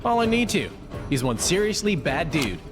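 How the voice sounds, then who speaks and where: An actor playing a laid-back, wisecracking hero in a video game, talking casually and jokingly. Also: male voice, a little high, very animated, moderate pace, roomy sound, over music. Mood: cocky.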